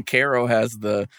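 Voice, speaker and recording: A man's voice: medium pitch, no room sound, studio quality. A man co-hosting a podcast in casual chat, joking and sardonic with colloquial phrasing. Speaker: podcast co-host.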